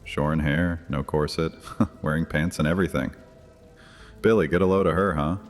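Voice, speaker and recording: An actor playing a menacing, mocking vampire high priest in an audio drama, speaking in a taunting, theatrical, deliberate way. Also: male voice, fairly even intonation. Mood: mocking amusement. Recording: long hall reverb, music underneath.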